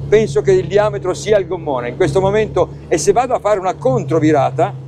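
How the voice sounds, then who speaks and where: A man's voice: sits high, animated, brisk, background noise. Chatty middle-aged man presenting on a boat. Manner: chatty, enthusiastic, addresses viewers directly. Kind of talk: casual on-board boat review. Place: open motorboat at sea, outdoors.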